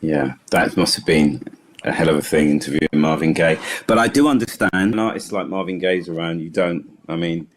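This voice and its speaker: A man's voice: deep voice, fairly quick. A man hosting a panel discussion as DJ and broadcaster, chatty and eager in the way he talks. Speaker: host, DJ and broadcaster.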